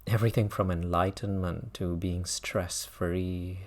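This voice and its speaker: A man leading a guided mindfulness meditation as a teacher, speaking gently with long pauses between phrases. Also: sits low, flat delivery.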